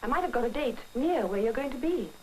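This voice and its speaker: Theatrical British showgirl spy, lively and high. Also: fast talker. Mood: gushing, coaxing. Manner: theatrical, gushing, darling-laden. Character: flirtatious stage performer and weary cocaine-addicted spy, deceitful woman. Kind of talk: 1940s British film acting. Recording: old film soundtrack with faint noise.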